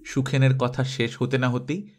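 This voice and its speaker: A man reading a literary story aloud as an audiobook narrator, in an expressive, dramatic storytelling manner. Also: medium pitch, moderate pace.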